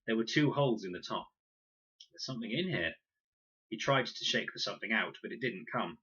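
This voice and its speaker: A man's voice: lowish voice, expressive, quick pace, sharp tone, slightly rough. A man narrating a children's adventure audiobook expressively, on a dull, lo-fi recording. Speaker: reader, narrator.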